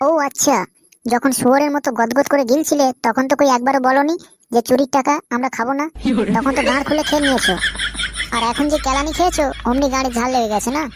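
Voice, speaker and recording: A woman's voice: high voice, fairly even intonation, background noise, close to an everyday voice. Woman doing a foul-mouthed young village lad. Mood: angry. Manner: swearing, rapid and quarrelsome. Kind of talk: comic cartoon voice acting.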